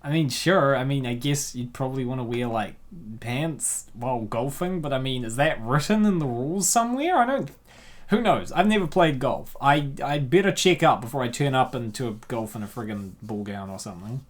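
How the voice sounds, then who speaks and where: Animated man narrating with jokey asides. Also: medium pitch, moderate pace. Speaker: host and narrator. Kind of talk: comic dub narration with casual commentary.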